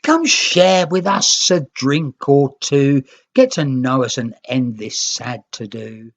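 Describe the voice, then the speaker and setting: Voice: medium pitch, animated, slow, lo-fi sound. A storybook narrator voicing small, hopeful seafaring creatures in an earnest, exclaiming way. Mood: earnest pleading.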